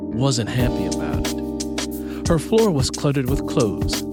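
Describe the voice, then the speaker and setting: A man reading scripted audiobook fiction as its narrator. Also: mid-range voice.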